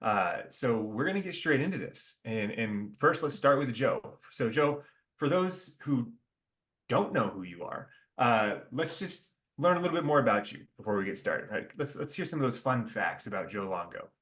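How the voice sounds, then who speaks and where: A male podcast host with an average pitch opens the show with upbeat, partly scripted introductions. He then moves into relaxed questions for his guests. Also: normal speed. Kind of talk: podcast hosting.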